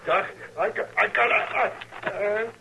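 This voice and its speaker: An actor playing a desperate, dying wounded outlaw, gasping and pleading in agony, in an old-time radio western. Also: male voice, high-pitched, takes it slowly, vocal fry, full character voice. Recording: noisy vintage radio drama recording.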